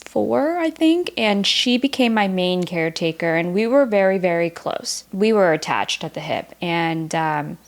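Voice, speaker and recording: A woman's voice: lowish voice, animated, unhurried, hardly any pauses. A woman in her early thirties from the United States, a native Vietnamese speaker, speaking openly and reflectively as a podcast guest in casual conversation. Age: early 30s. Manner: open and reflective, casual filler "like". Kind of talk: candid personal storytelling in conversation.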